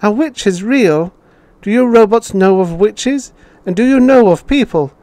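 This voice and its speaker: An eager young pupil, voiced by a male narrator, pipes up with questions in a high, raised voice. The tone is keen and curious. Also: lively intonation, unhurried, warm tone, no room sound.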